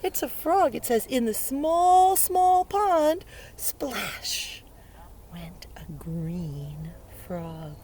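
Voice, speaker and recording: Woman reading to children, very animated pitch. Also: drawn-out words, a little hiss or hum. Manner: exaggerated wonder, simple questions to the listener, playful sound words. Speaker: early learning educator reading a picture book aloud. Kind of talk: interactive read-aloud for young children. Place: outdoors beside a lake.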